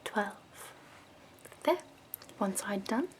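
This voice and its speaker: A woman voicing a prim, chipper escort who does your makeup, speaking softly in a half-whisper with fussy, doting praise. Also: mid-range voice, lively intonation, a little hiss or hum. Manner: soft-spoken, half-whispered and slow. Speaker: ASMR roleplay performer. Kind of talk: soft-spoken ASMR roleplay.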